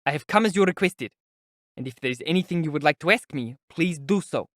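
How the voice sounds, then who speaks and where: A man voicing a resentful young visitor in an audiobook, speaking resolutely and irritably, close to shouting. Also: fairly high pitch, expressive, brisk, no room sound, voice pushed up. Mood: resolute, irritable. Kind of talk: audiobook character acting.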